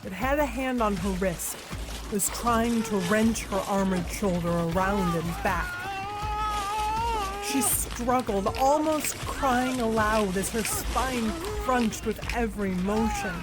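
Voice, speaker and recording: Slow dramatic female narrator over music. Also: fairly high pitch, animated, low-quality recording. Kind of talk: scripted audio drama narration.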